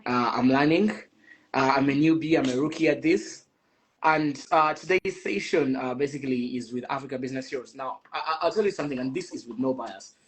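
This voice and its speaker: A man's voice: medium pitch, brisk, slight background noise, poor audio. A young man from Ghana hosting a casual live-stream chat, laughing often and tripping over his words as he talks.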